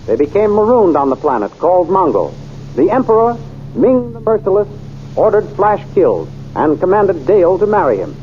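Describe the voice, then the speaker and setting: A man narrating an old radio adventure serial and reading its sponsor copy in a dramatic, brisk announcing manner, on a muffled old radio transcription. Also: high-pitched, sing-song, a little hiss or hum.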